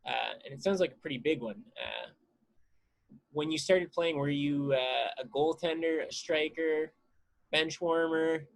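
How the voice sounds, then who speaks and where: A man hosting a casual interview, putting his questions in a halting, deliberate way. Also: a little high, vocal fry.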